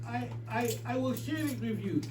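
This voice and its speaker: A man's voice: very high voice, moderate pace, voice pushed up. A man voicing a friendly soldier in a live folk tale, calling out and pleading with villagers. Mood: coaxing, pleading. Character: friendly soldier coaxing villagers into making soup. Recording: echoey room, distant mic, noisy hum.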